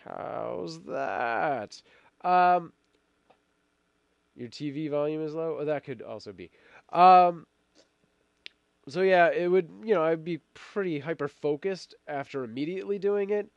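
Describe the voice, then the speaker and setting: A man's voice: on the high side, lively intonation, a little slow, vocal fry, pauses often, dry recording, dull audio. A middle-aged man hosting a casual live stream while fighting a cold, rambling with fillers and restarts. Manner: rambling, many fillers and restarts, laughs often. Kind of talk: casual live-stream chat, reading viewer comments.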